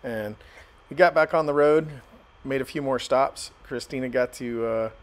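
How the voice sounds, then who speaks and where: A man's voice: mid-range voice, moderate pace. An American man chatting casually and narrating a road trip vlog in a laid-back, joking, folksy way. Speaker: travel vlogger. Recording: noisy phone audio with vehicle and outdoor noise.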